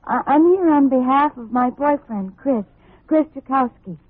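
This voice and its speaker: Timid earnest young woman, high n a bit husky, 1950s radio drama, thin phone-like sound. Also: measured pace, no echo. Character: anxious, earnest young woman of about twenty, scared and pleading. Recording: tinny, dry old radio recording.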